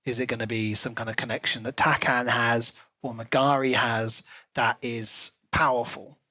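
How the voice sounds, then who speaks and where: A man's voice: medium pitch, level tone, normal speed, pushed and bright, dry recording. A man co-hosting a podcast, talking casually and speculatively about a book. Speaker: podcast co-host.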